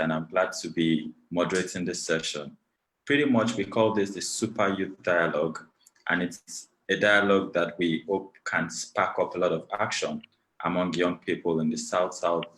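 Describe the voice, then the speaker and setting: A man's voice: deep voice, normal speed, a bit bright, poor audio. A man from Nigeria, moderating an online panel over a video call in a gracious, formal way, thanking many people at length. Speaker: webinar moderator.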